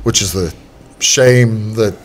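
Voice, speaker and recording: A man's voice: on the low side, slow. A man hosting a casual sports talk show, chatty with wry asides. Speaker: show host.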